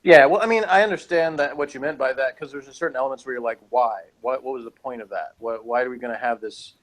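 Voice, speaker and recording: A man's voice: medium pitch, fast talker, low-quality recording. A man in a casual review chat, rambling and hedging as he argues his points as a co-host. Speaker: podcast co-host reviewing TV episodes.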